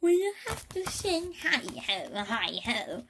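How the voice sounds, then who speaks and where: A woman doing a high, bawling alien. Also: very animated, background noise, full character voice. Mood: despairing bawl. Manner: bawling, drawn-out words. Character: bawling flustered alien bandmate.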